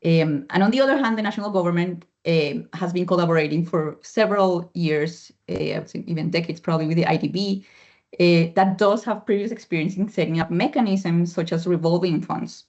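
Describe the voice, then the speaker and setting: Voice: medium pitch, a little mellow. A moderator of an online panel asks long framing questions, speaking with many fillers and restarts. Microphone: video call. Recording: muffled lo-fi video call audio.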